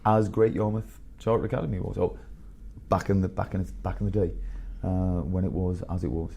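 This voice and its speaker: A man around fifty, a headmaster telling blunt, animated stories in a candid interview. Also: low-pitched, brisk, vocal fry, slight background noise. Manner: blunt, animated storyteller with bursts of laughter.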